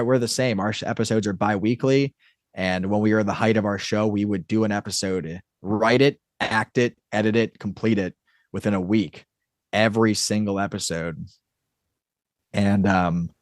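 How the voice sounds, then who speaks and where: A man talking casually as an interview guest, rambling and halting as he thinks aloud. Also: on the low side, no echo, studio quality. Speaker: podcast guest, audio drama creator.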